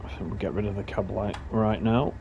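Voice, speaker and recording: A man's voice: fairly low pitch, steady tone, brisk, dull audio. A man with a Lancashire accent, giving casual running commentary as he live-streams a game, chatting in rambling asides that he often corrects midway. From Lancashire, England. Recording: train engine noise behind voice. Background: simulated train engine and rail sounds.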